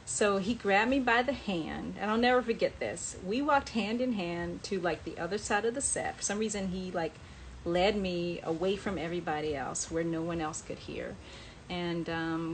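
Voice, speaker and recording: Low-voiced woman chatting over background noise. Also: low-quality recording. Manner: chatty, acts out quoted dialogue. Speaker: author sharing personal memories on a livestream. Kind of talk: casual anecdotal storytelling. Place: live social media stream.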